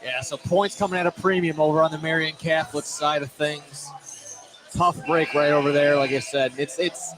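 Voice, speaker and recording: A man's voice: a little high, steady tone, moderate pace, over music. A man working as a color commentator, giving loose live analysis of a basketball game between plays. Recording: noisy live gym broadcast. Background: clear gym ambience.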